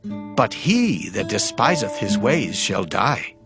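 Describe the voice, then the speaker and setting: A man's voice: on the low side, sing-song, slow, vocal fry. A man giving a solemn, declamatory reading of scripture in a dramatized audio Bible style. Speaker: Bible reader. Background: musical backing with guitar.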